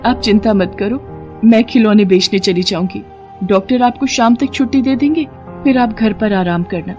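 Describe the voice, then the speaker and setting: A woman voicing a devoted, poor wife selling toys in a cartoon, speaking softly and pleadingly. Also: fairly high pitch, normal speed, dull audio, faint music behind, lightly acted. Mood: reassuring. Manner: soft, pleading.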